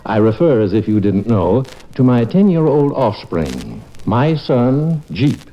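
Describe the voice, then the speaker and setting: A man's voice: medium pitch, very animated, unhurried, a little hiss or hum. A man playing a wry, middle-aged small-town doctor and father in old-time radio sitcom style, with dry understatement. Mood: wry narrating. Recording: muffled old radio broadcast.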